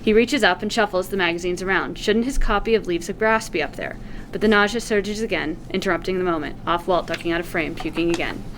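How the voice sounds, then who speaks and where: A woman's voice: on the low side, fast, fairly bright tone, rough recording. A woman narrating the stage directions of a drama script at a table read. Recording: faint background noise, room ambience.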